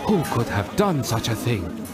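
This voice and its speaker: An actor playing a calm, wise old village elder in a cartoon adventure game, speaking in a solemn, measured, ceremonious way. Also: male voice, medium pitch, over music.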